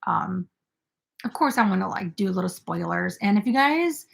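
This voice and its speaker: A woman's voice: mid-range voice, expressive, normal speed, creaky. A young woman hosting a casual crochet live stream, chatting with viewers and filled with "like" and "um". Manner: chatty, filler-heavy, reads viewer comments aloud.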